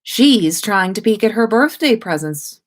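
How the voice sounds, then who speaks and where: A woman's voice: mid-range voice, expressive, a little slow, pitched above the performer's own voice, lightly acted. A woman reads the part of a doting young dad in a story. The father is playful and teasing, scolding only in fun.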